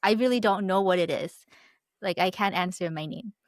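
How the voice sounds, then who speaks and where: A woman's voice: medium pitch, normal speed, no echo. A woman whose first language is Thai, a guest talking casually with many hesitant fillers. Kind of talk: casual conversation.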